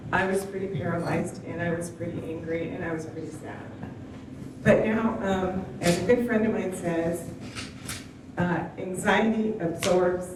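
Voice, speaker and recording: A woman's voice: mid-range voice, noisy background. A woman giving an earnest, rousing speech at a town hall podium. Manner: earnest, soft-spoken, rousing. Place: large hall on stage. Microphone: distant stand mic, room pickup. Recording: echoey hall heard from far off.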